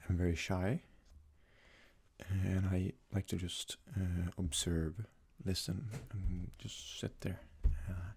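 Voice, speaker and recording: A young man from Sweden, a native Swedish speaker, talks casually in a soft-spoken, hesitant ASMR style as he answers questions. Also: low-pitched, little pitch movement, takes it slowly, slight background noise. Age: young adult. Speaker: ASMR creator.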